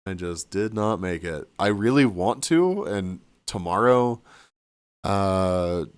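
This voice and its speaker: A man with a deep, low voice talks loosely to his live stream chat, rambling and trailing off. He sounds sniffly and a little hoarse, like he's losing his voice. The sound is dry and close. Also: animated, slow, no room sound. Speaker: livestreamer. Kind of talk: casual chat with stream viewers. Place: cabin room.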